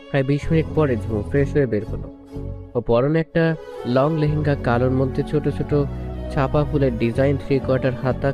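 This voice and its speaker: A male storyteller narrates a scripted romance in an average-pitched voice. Clearly audible instrumental music plays behind him throughout. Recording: music bed under the voice. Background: prominent instrumental music.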